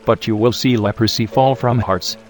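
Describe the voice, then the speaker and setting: An actor playing a calm, authoritative spiritual teacher who speaks in a measured, solemn way. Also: male voice, medium pitch, soft background music, natural voice. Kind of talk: radio drama acting.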